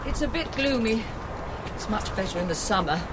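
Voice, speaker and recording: An actress playing a brisk, guarded gallery manager in a radio drama, clipped and formal. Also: female voice, a little high, animated, very noisy, close to an everyday voice. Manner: clipped, formal and faintly defensive.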